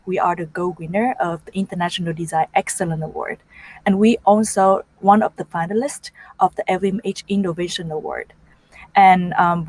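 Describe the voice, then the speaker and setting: Woman from Vietnam, native Vietnamese, low-ish voice, earnest startup pitch with ums, dry sound. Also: a little mellow, dry recording, poor audio. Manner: earnest, non-native grammar, frequent ums. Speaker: startup CEO presenting.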